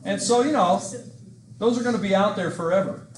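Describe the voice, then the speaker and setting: A middle-aged man gives a rambling, joking history lecture in a classroom. His voice sits a little high for a man, and the room rings with echo. A faint hiss sits under the laptop recording. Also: a little fast. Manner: joking, rambling and self-mocking. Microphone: laptop mic at a lectern. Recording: echoey classroom with background hiss.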